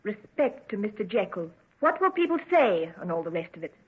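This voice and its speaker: An actress playing a worried, devoted young daughter with an emotional, pleading manner in an old-time radio drama. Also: female voice, mid-range voice, big pitch swings, brisk, breathy edge. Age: young adult. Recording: muffled, tinny old radio recording.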